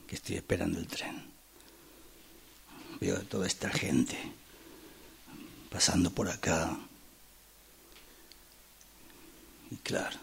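Gravelly, slow man waiting endlessly acted by a man. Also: deep voice, heavily acted. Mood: brooding, weary. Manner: halting, pensive, repeating phrases. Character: brooding, weary man waiting years for a train. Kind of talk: radio drama monologue.

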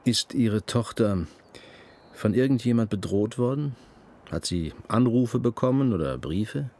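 An actor playing a calm, experienced police detective in a radio crime drama, speaking politely, deliberately and with reassurance. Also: male voice, mid-range voice. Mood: deliberate, considerate.

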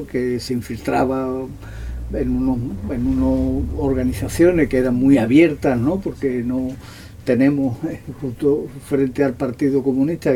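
A man's voice: medium pitch, dark tone, slight background noise. A man talking off the cuff at a public discussion, in long trailing clauses with tag questions. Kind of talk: unscripted discussion at a public talk.

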